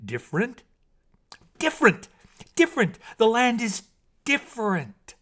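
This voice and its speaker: Guy doing a young mermaid for a bedtime story, slow emphatic words, puzzled by land, pitched way up. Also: wildly swooping pitch, a bit bright, heavily acted. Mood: impatient, emphatic. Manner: halting, simple learner's phrasing, emphatic.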